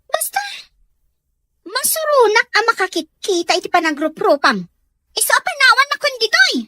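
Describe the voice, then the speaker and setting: A woman's voice: very high voice, big pitch swings, normal speed, pushed and bright, no echo, heavily acted. A woman playing a spoiled, resentful schoolgirl in an audio drama, petulant and snappish. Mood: hostile, dismissive. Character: spoiled, haughty, resentful schoolgirl daughter Dorina. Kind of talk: Ilocano audio drama acting.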